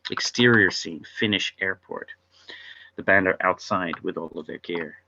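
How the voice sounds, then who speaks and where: A man's voice: low voice, moderate pace, sharp tone, dull audio, a little hiss or hum. A man reading stage directions at a script table read, narrating scenes in a wry, offhand way.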